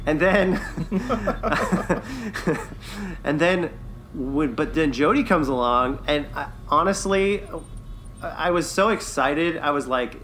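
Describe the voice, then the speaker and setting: A man's voice: a little high, lively intonation, takes it slowly, fairly bright tone, a little hiss or hum. A man co-hosting a podcast, talking in an enthusiastic, chatty way in a casual review discussion. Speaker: podcast co-host.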